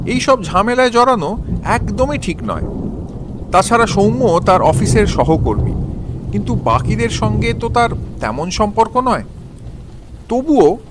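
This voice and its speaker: A man's voice: sits high, noisy background. A man narrating a scripted suspense story in a dramatic storytelling manner.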